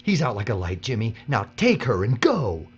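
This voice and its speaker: A man's voice: medium pitch, wildly swooping pitch, normal speed. A man playing a weary, desperate worker with simmering hatred in an audio drama, speaking with intensity. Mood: tense, submissive. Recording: muffled audio with faint hum.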